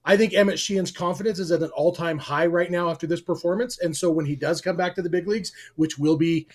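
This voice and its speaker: A man's voice: sits high, quick pace. A man co-hosting a sports podcast in casual chat, explaining points in detail with a dry sense of humor. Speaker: podcast co-host.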